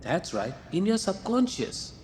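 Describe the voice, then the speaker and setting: A man playing a calm, gently teasing male muse in a radio drama. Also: sits high, unhurried. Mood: wry. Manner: wry, calm, coaxing. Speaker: the writer's muse. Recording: long reverb, faint noise.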